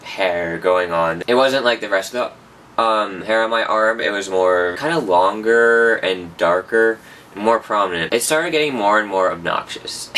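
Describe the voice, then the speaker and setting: A man's voice: fairly low pitch, unhurried, pushed and bright, recorded from a distance, slight background noise. A young man rambling through a casual personal update, often correcting himself. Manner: rambling, self-correcting, chatty. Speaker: vlogger.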